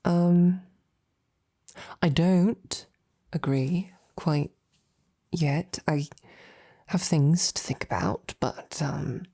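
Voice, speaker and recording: A woman acting as a devoted lady knight in an intimate fantasy romance audio drama. Her low voice is soft, breathy and full of creak. She speaks slowly, courteous and tender, halting as if easily flustered. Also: full character voice. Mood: flustered, reassuring. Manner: gentle, hesitant, halting, formal wording.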